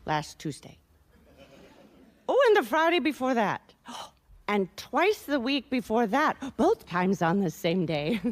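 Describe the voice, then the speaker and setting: A woman's voice: on the high side, big pitch swings, slow. An actress playing a tipsy, flippant wife in a radio mystery, rambling breezily through her answers. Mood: tipsy, blasé. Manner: breezy, rambling, blasé about murder.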